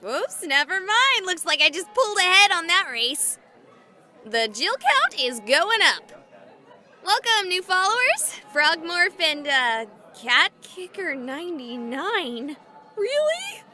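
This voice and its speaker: A woman playing a goofy, excitable young livestreamer in a visual novel, chatting playfully with exaggerated enthusiasm. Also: very high-pitched, takes it slowly, some chatter behind, exaggerated voice. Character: excitable, goofy young female livestreamer exploring haunted places.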